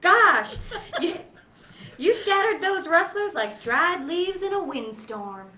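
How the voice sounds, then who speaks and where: A woman plays a spirited ranch daughter in a radio western. Her high voice swings widely as she speaks with eager, dramatic frankness. It is recorded in an echoing room. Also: very animated, slow pace, full character voice. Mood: frank. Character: spirited young ranch daughter of the Old West. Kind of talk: old-time radio western acting. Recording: roomy, dull, noisy recording.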